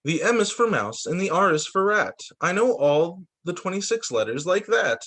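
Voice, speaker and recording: Animated, high-pitched man reading a storybook. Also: normal speed. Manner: expressive, sing-song storytelling. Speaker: teacher reading to young students. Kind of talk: children's picture-book read-aloud.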